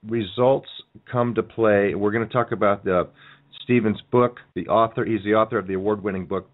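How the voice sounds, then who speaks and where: A man hosting a radio interview show, asking rambling questions that he often restarts. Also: fairly low pitch. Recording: tinny phone-like sound.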